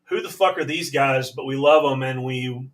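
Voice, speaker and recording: Quiet band guy far from the mic. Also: medium pitch. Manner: quiet, rushed thanks. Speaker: band member hosting a live chat. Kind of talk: casual chat. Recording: distant mic with music behind.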